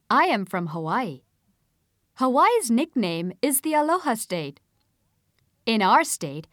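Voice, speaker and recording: A woman reading a scripted passage aloud for a listening test, with careful, clearly spaced delivery into a close studio mic. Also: fairly high pitch, no room sound.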